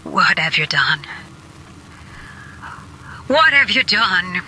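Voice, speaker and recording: A woman playing a haunted, grieving young adventurer in an audio drama, with an anguished, halting delivery. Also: lowish voice, sing-song, pushed and bright, a little hiss or hum, full character voice, rough recording. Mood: anguished, bitter. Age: young adult. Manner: anguished, halting, breathy at times.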